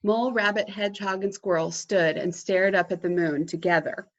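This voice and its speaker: A woman reading a children's storybook aloud in an animated, dramatic way, with a husky voice. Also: lowish voice, normal speed, dull audio.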